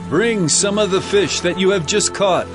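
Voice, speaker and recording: A man voicing Jesus as a calm, tender teacher in a dramatized scripture reading, speaking slowly and with measured care. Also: high-pitched, voice pushed up, close to an everyday voice. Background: music.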